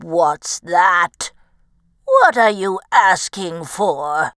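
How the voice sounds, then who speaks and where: A woman voicing an ancient, shrewd female woolly mammoth with a slow, drawn-out, imposing delivery in a storybook tale. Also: fairly low pitch, very animated, a bit bright, no echo, clean studio sound, pitched below the performer's own voice, heavily acted. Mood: shrewd, bargaining.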